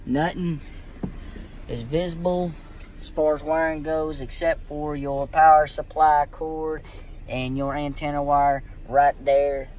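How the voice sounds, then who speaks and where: A man's voice: fairly high pitch, level tone, slow, darkish tone. A man with a Southern US accent giving an informal hands-on tutorial in a folksy, rambling way. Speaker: how-to video host. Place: inside a vehicle. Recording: clear background noise inside a vehicle.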